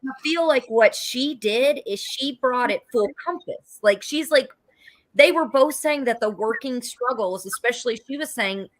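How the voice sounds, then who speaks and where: A woman's voice: a little high, a little slow, poor audio. A woman with an American accent talking casually on a political panel, earnest and emphatic in how she makes her points. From United States. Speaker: political talk show panelist.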